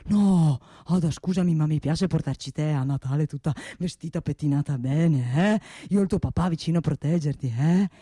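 An actor playing a boastful, coaxing middle-aged father and hunter, repeating phrases haltingly and flaring into irritation, in a theatrical radio comedy style. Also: mid-range voice, mellow, breathy edge, no echo, studio quality, lightly acted. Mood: coaxing, proud. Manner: halting, repetitive, cajoling, bursts of irritation. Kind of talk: theatrical monologue-like stage comedy acting.

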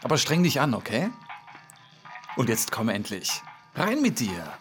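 An actor playing a war veteran and close friend in a radio drama, blunt, teasing and familiar. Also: male voice, medium pitch, huge pitch swings, a little slow. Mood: blunt, affectionate. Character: gruffly affectionate war-veteran friend of the hero. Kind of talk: German radio drama acting. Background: loud music.

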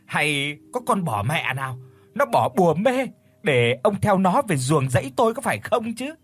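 A man's voice: sits high, sing-song, brisk, a bit bright, breathy edge, no room sound, voice pushed up, exaggerated voice. A man voicing a quarrelsome middle-aged village wife who scolds and wails, in a spoken storybook. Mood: wailing. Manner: scolding, wailing.

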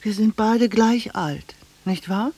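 An actress playing a blunt, wistful middle-aged village cashier in a German radio drama, speaking in a deliberate, intimate way. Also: female voice, mid-range voice, warm tone, breathy edge. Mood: reproachful, sad.

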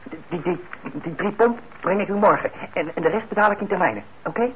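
An actor in a radio play as a shy, eager young working-class lad who stammers and hesitates. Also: male voice, high-pitched, a little fast, fairly bright tone, breathy edge, heavily acted. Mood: nervous, grateful. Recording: dull, noisy old radio recording.

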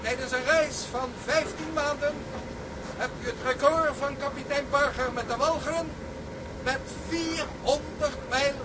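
An actor playing a pompous shipping company official, giving a solemn, grandiloquent ceremonial welcome speech. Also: male voice, very high-pitched, steady tone, measured pace, very noisy.

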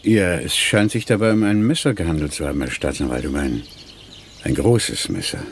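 An actor playing a cautious, deferential doctor in a radio crime drama, hesitant and politely formal. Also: male voice, extremely low pitch, animated, normal speed, creaky, slight background noise, exaggerated voice. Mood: hesitant, grave. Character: cautious, deferential male doctor examining a corpse. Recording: outdoor ambience, faint birds.

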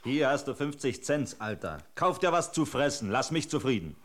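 An actor playing a gruff, impatient passer-by in a German radio drama, curt and dismissive. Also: male voice, mid-range voice, big pitch swings, moderate pace, fairly bright tone. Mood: annoyed.

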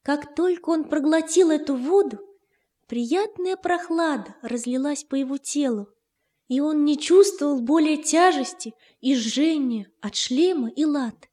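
A woman narrating a scripted children's story in a measured, expressive storytelling manner. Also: high voice. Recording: echoey studio, faint music behind. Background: faint music.

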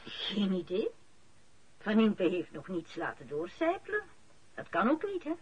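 An actress playing a brisk, dry-mannered woman in a Dutch radio drama. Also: mid-range voice, wildly swooping pitch, breathy edge, highs cut off, full character voice. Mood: businesslike.

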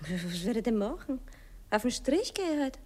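An actress playing a sleepy, carefree young streetwalker with a Viennese accent, offhand and pert in a 1960s radio play. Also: female voice, fairly high pitch, sing-song, fairly quick, dark tone. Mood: matter-of-fact, chatty. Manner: drowsy, offhand, matter-of-fact.

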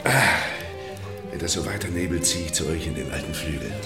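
A man's voice: very deep voice, fairly quick, music in the background. An actor playing a worldly, mocking baron in a radio drama, savouring his words with theatrical exclamations. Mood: musing, intense.

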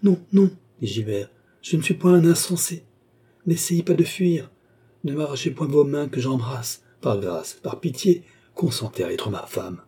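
A man's voice: lowish voice, moderate pace, dark tone, slightly rough. A man playing a remorseful young man, pleading fervently and solemnly in an audiobook story. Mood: desperate pleading.

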